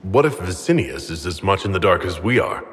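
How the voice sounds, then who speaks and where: An actor playing a principled, steadfast leader in a fantasy game, firm and plain-spoken. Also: male voice, low voice, very animated, brisk. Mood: inquiring. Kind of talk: video game dramatic acting. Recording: long hall reverb, clear background ambience.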